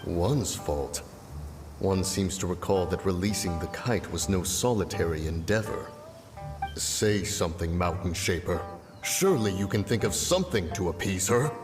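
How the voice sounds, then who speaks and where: A man voicing a calm, formal and curious ancient sage in a game. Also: low-pitched, normal speed, over music. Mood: measured. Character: pompous ancient male adeptus, calm and inquisitive. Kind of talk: game voice acting.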